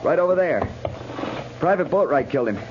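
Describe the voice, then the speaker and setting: Heavy noise covers this dull 1950s radio recording of a junior cavalry officer, acted by a man. His voice is fairly high and moves around a lot, earnest and deferential in a soldierly way. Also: expressive, brisk, natural voice. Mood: breathless, somber. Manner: deferential, military. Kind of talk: 1950s radio drama acting.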